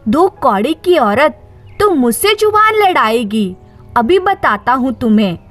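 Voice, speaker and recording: A woman's voice: sits high, very animated, a little slow, fairly bright tone, faint music behind, full character voice. A woman voicing an arrogant, abusive rich husband in a story, with a domineering, contemptuous manner. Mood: furious, contemptuous.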